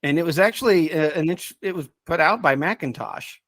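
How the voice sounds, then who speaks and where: Higher-voiced man, halting casual talk. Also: expressive, fairly bright tone, no room sound. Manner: halting, thinking aloud with repeated words. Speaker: podcast subject matter expert. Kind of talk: casual chat.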